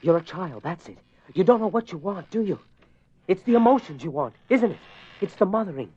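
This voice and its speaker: A man's voice: high voice, lively intonation, fairly quick, a little mellow, slightly rough. A man playing a desperate husband in a horror radio play, intense and imploring as he confronts a spirit. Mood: firm, challenging. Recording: muffled lo-fi radio drama recording.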